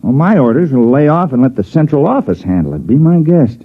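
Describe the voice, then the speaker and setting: An actor playing an easygoing, folksy resident agent at a backwater outpost, with deadpan humor, in a 1950s radio drama. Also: male voice, mid-range voice, brisk, breathy edge. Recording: muffled old radio recording.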